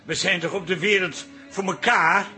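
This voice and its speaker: An actor playing a friendly, excitable Dutch café barman in a radio drama, chummy and full of exclamations. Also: sits high, moderate pace, soft background music. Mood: excited, friendly. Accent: Dutch, from the Netherlands.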